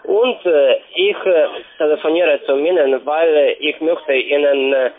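A man with a foreign accent giving a sales pitch on the telephone in halting, broken non-native German. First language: not German. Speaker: call centre agent. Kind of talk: sales phone call. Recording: tinny narrowband phone line.

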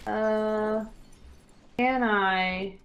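A woman's voice: mid-range voice, fairly even intonation, very slow, a bit bright, a little hiss or hum. Playful young woman chatting while gaming. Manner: playful, pleading, teasing. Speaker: co-player in a multiplayer game. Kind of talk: casual gameplay chat. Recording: faint game gunfire behind. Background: gunfire from a war game.

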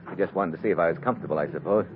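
An actor playing a tough, wary American secret agent in an old-time radio adventure, terse and hard-boiled. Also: male voice, sits low, racing, a little hiss or hum, lightly acted. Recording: muffled vintage radio recording with music.

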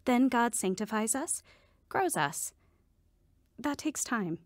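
A woman's voice: a little high, sing-song, slow, no room sound, clean studio sound. Reassuring devout woman mentor.

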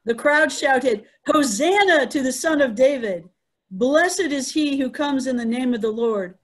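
A woman's voice: sits high, expressive, measured pace. A woman playing an earnest female eyewitness to the crucifixion in a dramatic reading. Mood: indignant, baffled. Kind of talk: dramatic Easter scripture reading. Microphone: video call.

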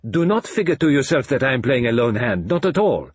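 A precise, sly elderly detective with a lively, lifting voice, played by a man reading aloud. His breathy, husky edge sits close to the mic. Every word is weighed and formal. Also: mid-range voice, a little fast, dry recording, pitched above the performer's own voice. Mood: earnest. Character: elderly frail fussy male detective.

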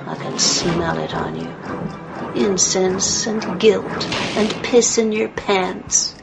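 A woman's voice: medium pitch, slow pace, gravelly, loud music behind the voice. A woman in a radio play voicing a mocking, vicious legion of demons inside a possessed girl, in a theatrical manner. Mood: venomous, gloating.

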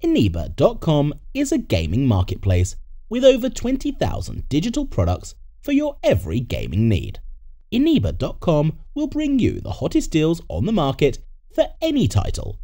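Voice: mid-range voice, mellow. A narrator reading a scripted sports video intro and a sponsor message in an upbeat promotional way.

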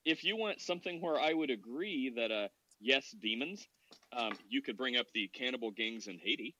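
Bright-voiced man on a dull dry mic. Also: medium pitch, expressive, moderate pace, slight background noise. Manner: teasing, rambling questions. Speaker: podcast host. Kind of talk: casual podcast discussion.

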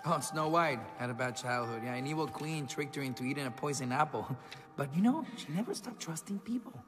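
A man's voice: a little high, lively intonation, brisk, music in the background, natural voice. Man as breezy charming cartoon prince. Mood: casual. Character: charming, vain young prince under a curse. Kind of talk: animated film voice acting.

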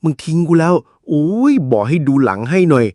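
A man voicing a greedy, easily frightened ghost hunter in a cartoon, who holds the camera and complains loudly. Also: fairly high pitch, lively intonation, fairly quick, no echo, clean studio sound, lightly acted. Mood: scared. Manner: cowardly, loud, complaining. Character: jumpy, greedy elder ghost-hunting cameraman. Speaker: cartoon voice actor.

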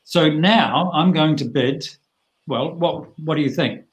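A middle-aged man teaching a bridge hand over a video call, plain-spoken and chatty with lively asides. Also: fairly high pitch, takes it slowly, low-quality recording.

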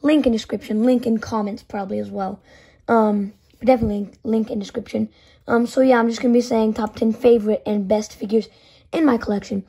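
A woman with a hoarse voice from yelling, counting down her toy figure collection in a loose, chatty way, with plenty of "um" and "so yeah", filmed on a phone in a small indoor room. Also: fairly high pitch, steady tone, fairly quick. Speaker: toy collector channel host. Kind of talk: casual video countdown.

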